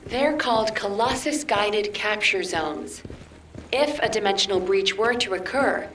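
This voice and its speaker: Grave commander in echoing room. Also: female voice, fairly high pitch, a little hiss or hum. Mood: serious, analytical. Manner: formal, measured, authoritative. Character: grave, analytical female commander at headquarters. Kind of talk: video game mission briefing. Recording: roomy, distant, loud music behind.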